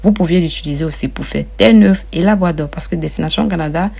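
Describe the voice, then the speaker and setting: A woman with a lowish, gravelly, mellow voice talks viewers through an online form. She repeats herself often and calls her audience friends. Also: fast. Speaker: immigration advice vlogger. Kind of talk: casual walkthrough of an application form.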